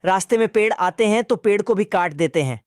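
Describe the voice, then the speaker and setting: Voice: mid-range voice, expressive, a little fast, heavy background noise. A narrator recapping a cartoon in a casual, chatty way with a bit of street slang. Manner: asks viewers to comment.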